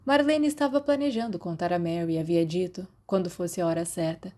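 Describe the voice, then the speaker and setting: Female audiobook narrator, Brazilian, very mellow tone on the lower side, for scripted fiction. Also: fairly quick. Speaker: podfic narrator.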